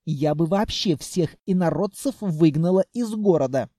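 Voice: medium pitch, lively intonation, normal speed, dark tone, no room sound, studio quality, close to an everyday voice. Чтец озвучивает молодую продавщицу-блондинку из аудиокниги, заносчивую, мелочную сплетницу с манерной речью. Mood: confiding. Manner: affected, huffy, confiding. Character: snooty young blonde saleswoman, gossipy, petty, xenophobic.

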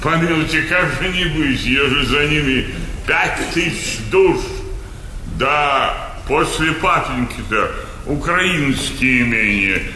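An actor playing a pompous old servile majordomo in a florid, theatrical stage comedy manner. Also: male voice, fairly high pitch, lively intonation, takes it slowly, pushed and bright, exaggerated voice. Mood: servile, self-important. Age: older. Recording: echoey theatre stage recording, faint noise.

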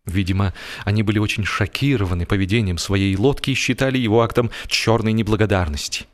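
A man narrating a humorous literary story with expressive, deliberate, measured phrasing. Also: on the low side. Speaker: audiobook narrator.

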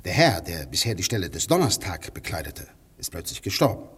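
An actor in a radio play playing a proud, conspiratorial anarchist poet with a rough, husky voice and a declamatory, intense manner. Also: male voice, low voice, big pitch swings, brisk, fairly bright tone, full character voice. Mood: solemn, boastful. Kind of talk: German radio play acting.

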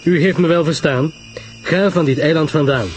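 A man's voice: a little high, normal speed, soft background music, pitched above the performer's own voice, close to an everyday voice. A man voicing a grave, curt detective in a mystery story, speaking sharply with French interjections. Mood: stern warning. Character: grave, curt male detective giving stern advice.

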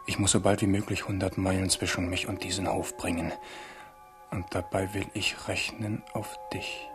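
A man's voice: low voice, fairly even intonation, moderate pace, over music, lightly acted. An actor in a German radio play playing an impulsive, wry young king, commanding yet lovelorn. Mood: tender, resolute.

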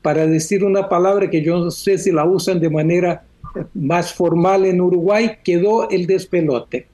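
A Brazilian economist speaking Spanish as a second language, his voice pitched high but mellow and unhurried. He lays out political analysis for a radio program in a measured, explanatory way, often closing a thought with a questioning tag. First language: Portuguese. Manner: measured, explanatory, with frequent "¿no?" tags. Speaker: columnist, economist. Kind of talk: radio phone-in commentary.

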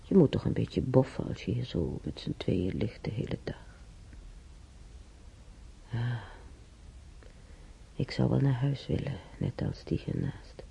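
An actress playing a weary, timid elderly former housemaid in a radio play, with a breathy, husky voice and halting, sighing delivery. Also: female voice, extremely low pitch, sing-song, dark tone, in a whisper, full character voice. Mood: weary, musing. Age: older. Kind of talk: naturalistic radio drama monologue and dialogue. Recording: dull, muffled radio play recording.

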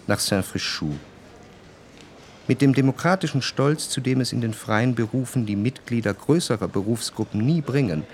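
An actor playing a reflective, refined gentleman narrator in a radio drama, measured, precise and gently ironic. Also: fairly low pitch, slight background noise.

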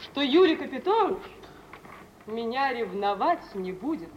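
A woman's voice: a little high, big pitch swings, normal speed, distant mic, a crowd in the background. Earnest, wounded young romantic woman. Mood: earnest, tense. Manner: earnest, emotional, theatrical. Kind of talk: mid-20th-century Russian radio theatre acting. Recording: muffled, hissy old radio drama recording.

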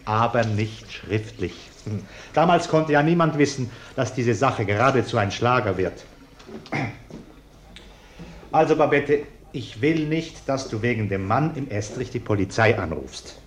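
An actor playing a pompous, self-righteous middle-aged householder in a German radio play, blustering and indignant. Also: male voice, mid-range voice, expressive, a little slow, a little hiss or hum, close to an everyday voice. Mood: self-righteous grumbling.

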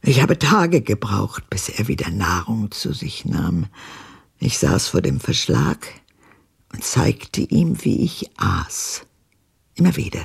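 An actress playing an embittered old woman who recalls her past with dramatic intensity in a radio drama, her voice husky and gravelly. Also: extremely low pitch, sing-song, takes it slowly, full character voice. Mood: brooding, haunted. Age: older.

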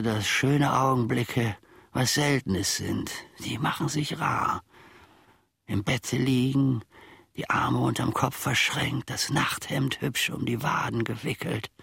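An actor playing a melancholy, self-mocking old manservant in a radio play monologue, ruminating haltingly with long dramatic pauses. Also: male voice, mid-range voice, creaky, fairly bright tone, husky, dry recording, clean studio sound, full character voice. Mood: wistful, wry. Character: melancholy, wryly self-mocking old manservant looking back on his life.

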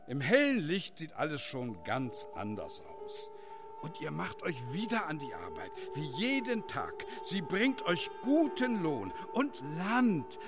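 An actor playing an ageing village schoolmaster in a German radio play, lecturing in a theatrical, emphatic way. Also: male voice, on the high side, exaggerated voice. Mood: rousing.

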